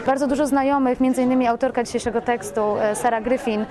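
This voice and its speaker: A woman's voice: on the high side, steady tone, fast talker. A woman giving a spontaneous interview as a producer, effusive and rambling, often correcting herself mid-sentence. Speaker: radio play producer being interviewed. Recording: busy crowd chatter behind voice. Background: crowd at a public event.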